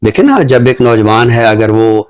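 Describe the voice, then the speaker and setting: A man giving thoughtful, earnest commentary on a talk show in a measured, phrase-by-phrase way. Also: medium pitch, lively intonation, darkish tone.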